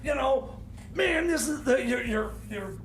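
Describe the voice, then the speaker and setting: A man playing a flustered, grumbling time machine mechanic in radio comedy, stammering and scattered, with a creaky high voice. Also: lively intonation, unhurried, noisy background. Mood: defensive, exasperated.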